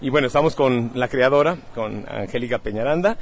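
A man hosting an interview, asking gentle, unhurried questions. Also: mid-range voice, loud music behind the voice. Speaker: host.

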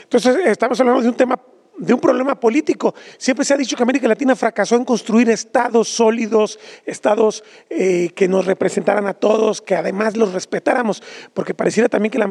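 A man's voice: high-pitched, fairly quick, darkish tone. A man interviewing a guest, summing up points and prompting in a conversational way.

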